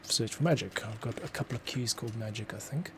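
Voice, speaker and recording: A man's voice: lowish voice, fast talker. A man giving casual livestream commentary as a composer at work, muttering and thinking aloud. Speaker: composer demonstrating his workflow. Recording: clearly noisy room, keyboard clicks. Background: typing, clicks, background noise.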